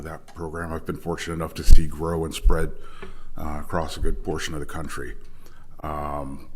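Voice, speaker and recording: A man's voice: sits low, slight background noise. A man introducing himself as a candidate at a public forum, with a big, forthright voice. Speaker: city council candidate.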